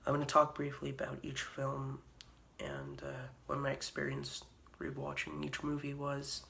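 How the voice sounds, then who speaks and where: A young man vlogging casually, hoarse from a cold and a sore throat, rambling with frequent ums and uhs. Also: mid-range voice, completely flat delivery, moderate pace, sharp tone. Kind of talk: casual vlog review.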